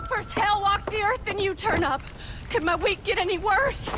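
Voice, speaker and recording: A woman playing a sharp-tongued, stubborn young rancher's daughter in a western video game, biting and sarcastic. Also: very high-pitched, normal speed, heavy background noise. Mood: scornful. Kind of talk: video game cutscene acting.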